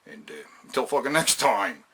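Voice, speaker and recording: A man with a raspy, gravelly voice hosts a casual, opinionated countdown video, talking emphatically with heavy swearing and repeating himself. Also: medium pitch, big pitch swings, moderate pace, sharp tone. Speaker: video host counting down a top ten list.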